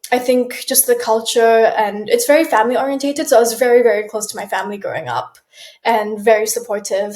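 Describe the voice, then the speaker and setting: A young woman from Malaysia talks casually as an interview guest, her voice a little high. She restarts her sentences often and laughs softly between them. The sound is roomy, with an echo around her. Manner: chatty, with frequent restarts and soft laughs. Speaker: guest, aspiring model.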